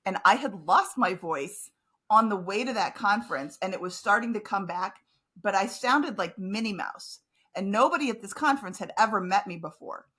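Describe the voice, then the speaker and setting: A woman with a mid-range voice and a husky edge. She hosts a podcast and tells a story in lively, casual conversation. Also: medium pitch, moderate pace. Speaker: podcast host. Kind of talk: casual interview chat.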